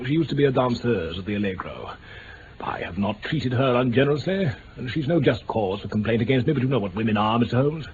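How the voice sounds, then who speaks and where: An actor with an upper-class English accent playing a stiff, haughty lord, hesitant and condescending, in mid-century radio drama style. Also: male voice, mid-range voice, expressive, fast, lightly acted. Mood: embarrassed. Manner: hesitant, pompous, formal. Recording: dull, hissy old radio recording.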